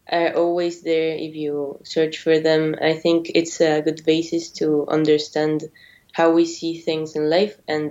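Hesitant young woman, low and slow. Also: dark tone. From Argentina, raised in Mexico. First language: Spanish. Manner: hesitant, many fillers and restarts. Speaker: guest. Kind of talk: interview. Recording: dull lo-fi audio.

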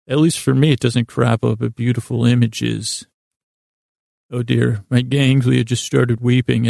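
A man with a heavily creaky, gravelly voice, recorded very dry and close. He hosts a rambling bedtime podcast, drifting from one tangent to the next in a mildly silly, self-deprecating way. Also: mid-range voice, a little mellow, no echo. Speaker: podcast host.

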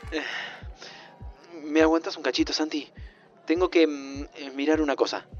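A man's voice: on the high side, steady tone, moderate pace, muffled recording, faint music behind, natural voice. An actor playing a flustered, tender man in an audio drama, with an Argentine accent and a hesitant, stammering delivery. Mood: nervous, hesitant. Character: flustered, tender man visiting his own future. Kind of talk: naturalistic audio fiction acting.

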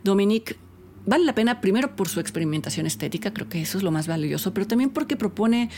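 A woman hosting a film review segment, speaking in Spanish in a measured, analytical way with occasional fillers. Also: mid-range voice, lively intonation, darkish tone, slight background noise. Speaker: film critic and segment host. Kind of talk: scripted film review commentary.

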